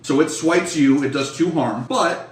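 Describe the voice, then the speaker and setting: A man's voice: on the high side, measured pace, a little hiss or hum. Male game master, informal and sweary, improvising scenes for players, recorded a little off-mic in a live room. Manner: profane, informal. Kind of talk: improvised tabletop game narration, casual chat. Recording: roomy echo, slightly distant mic.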